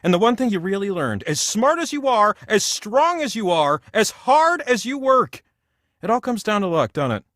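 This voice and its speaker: An enormous ancient wheel that hosts a comic video game as a jovial, self-important god. The voice is high for a male and swings widely in pitch, moving from grandiose gushing to chatty asides. It is recorded very dry and close. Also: big pitch swings, normal speed, a bit bright, no echo. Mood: wistful, philosophical. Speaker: game host.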